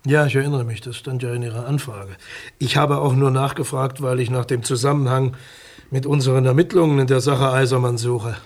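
An actor playing a formal, guarded public prosecutor in a German radio crime drama, courteous, bureaucratic and a little hesitant. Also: male voice, medium pitch, fairly even intonation, a little fast, a little mellow, natural voice. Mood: reserved.

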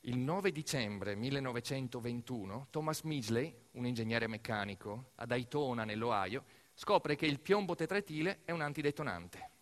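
Male theatre narrator, expressive storytelling. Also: mid-range voice, normal speed. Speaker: actor-narrator of a solo theatre piece. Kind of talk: dramatic scripted narration, theatrical monologue.

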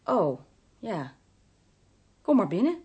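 A woman's voice: mid-range voice, very animated, slow pace, darkish tone, breathy edge, dull audio, full character voice. An actress with a Netherlands Dutch accent playing a trusting, innocent woman in a radio drama. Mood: hospitable. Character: trusting, childlike innocent woman, partner of a burglar.

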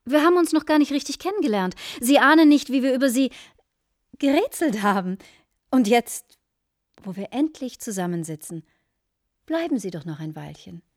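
Opinionated wife, animated swooping voice. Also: female voice, fairly high pitch, normal speed, no echo, studio quality. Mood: gushing. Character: opinionated, judgmental wife and gushing hostess. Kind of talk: radio drama acting.

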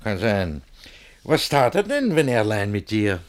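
Suspicious older guardian, low husky voice, seething, controlling, swinging delivery, classic radio comedy. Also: male voice, measured pace. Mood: suppressed anger. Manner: muttering asides, feigned calm, theatrical outbursts. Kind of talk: German radio play of classic verse comedy.

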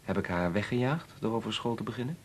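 An actor playing a kindly, gently teasing family friend in a Dutch radio play. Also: male voice, lowish voice, expressive, fast talker, natural voice. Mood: apologetic. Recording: very noisy old radio-play recording.

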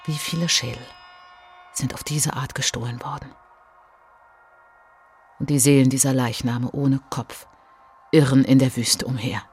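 A woman performing a solemn narrator in a literary radio drama, speaking in measured, dramatic short phrases. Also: very deep voice, steady tone, a little mellow, husky, full character voice. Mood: mournful. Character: solemn woman narrator recounting colonial atrocities as a traveller. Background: prominent music behind the voice.

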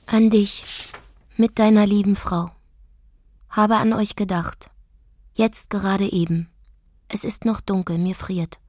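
An actress playing a fragile, lovelorn dreamer in a radio drama, halting and emotional. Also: female voice, medium pitch, steady tone, darkish tone. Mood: dreamy.

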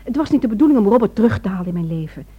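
A woman's voice: mid-range voice, expressive, very fast, slightly rough, muffled recording. An actress playing an anxious, insistent, loving wife in a radio play, pressing her case with slight hesitations. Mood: anxious, defensive.

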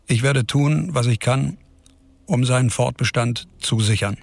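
An actor playing a resolute scientist and doctor in a radio drama, speaking solemnly and emphatically in a public-speech style. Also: male voice, mid-range voice, unhurried, gravelly, dry recording. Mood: defiant, solemn. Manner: solemn, emphatic, repeating phrases. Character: resolute male scientist and doctor defending his experiment. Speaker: scientist and physician character.

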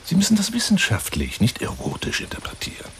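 Theatrical, wildly swooping obsessive hunter. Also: male voice, high voice, wildly swooping pitch, fairly quick, heavy background noise, heavily acted. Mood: insinuating, coaxing. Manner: effusive, insinuating, swinging between whispers and exclamations. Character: eccentric, obsessive lion hunter wooing a woman by telephone. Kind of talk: theatrical radio play monologue.

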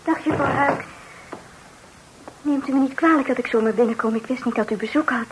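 A woman's voice: high-pitched, a little fast, close to an everyday voice. An actress playing a demure, uneasy, well-bred young lady in a Dutch period radio play, speaking with formal courtesy. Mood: polite. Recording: noisy old radio play recording.

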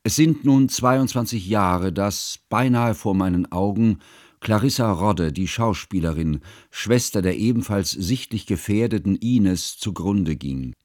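Low-voiced, earnest scholarly chronicler played by an actor. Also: male voice, a little mellow, dry recording, studio quality, close to an everyday voice. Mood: grave, regretful. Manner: formal, pedantic, measured diction. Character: earnest, learned male chronicler, mournful and scholarly. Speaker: narrator. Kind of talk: literary radio drama narration.

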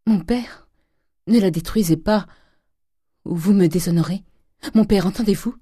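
A woman's voice: mid-range voice, moderate pace, dark tone, no room sound, studio quality, pitched above the performer's own voice, natural voice. A woman voicing a young daughter in a storybook, pleading fervently and defiantly with her father. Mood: desperate pleading. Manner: fervent, solemn, insistent pleading.

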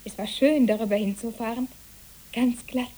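Woman as gentle imaginative child, mellow tone, fairly high, radio play. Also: steady tone, moderate pace, lightly acted, poor audio. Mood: calm wonder. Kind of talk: literary radio play acting.

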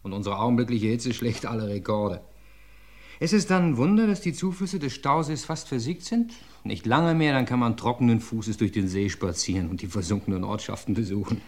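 A man's voice: mid-range voice, lively intonation, a little fast, darkish tone. A man playing an eager, talkative young police assistant in a 1950s radio play. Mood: cocky.

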